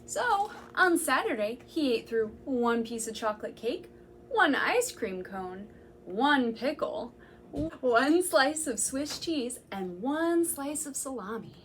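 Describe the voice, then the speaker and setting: A young woman, a children's librarian, reading a picture book aloud to children in a gentle, slow, sing-song way and counting along. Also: high-pitched, sing-song, slight background noise. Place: library room.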